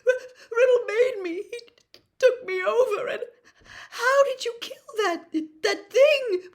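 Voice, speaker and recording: A woman voicing a frightened, guilt-ridden young schoolgirl in a storybook, crying and wailing in halting gasps. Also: very high voice, voice pushed up. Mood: sobbing, panicked. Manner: tearful, gasping, halting wailing delivery.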